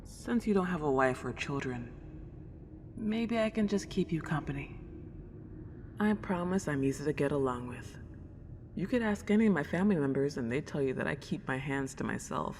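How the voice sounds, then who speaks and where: A woman voicing a polite, excitable century-old ghost girl in an ASMR roleplay, with old-fashioned genteel wording and gushing wonder. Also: deep voice. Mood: earnest, hopeful. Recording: long reverb, clear background noise.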